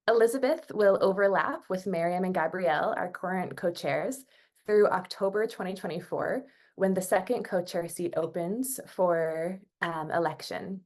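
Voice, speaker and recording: A woman's voice: on the low side, a little mellow. A woman giving scripted organizational updates on an online conference call in a formal, organized manner. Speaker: working group coordinator giving updates.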